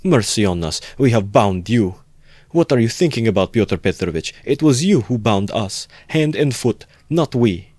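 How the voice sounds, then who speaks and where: A man's voice: on the low side, quick pace, hardly any pauses, darkish tone, dry recording, lightly acted. A man voicing an indignant, fiercely protective older mother in an audiobook, with exclamatory, scolding questions. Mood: furious, scornful.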